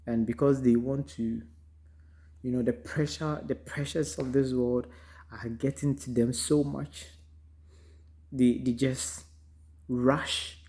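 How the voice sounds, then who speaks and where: A man from Ghana with a Ghanaian accent, giving an unhurried, reflective motivational talk full of ums, recorded in a car. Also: medium pitch, steady tone, mellow. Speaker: vlogger. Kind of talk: casual motivational monologue.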